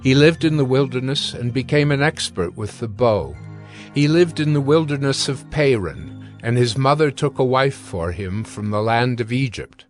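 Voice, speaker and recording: A man's voice: medium pitch, expressive. Male scripture narrator over music. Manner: formal, measured. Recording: background music under the voice.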